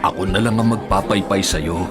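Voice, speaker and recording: An actor playing a generous, mild-mannered husband in a Filipino radio drama. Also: male voice, low voice, normal speed, lightly acted. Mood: mild, apologetic. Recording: music and outdoor bustle behind.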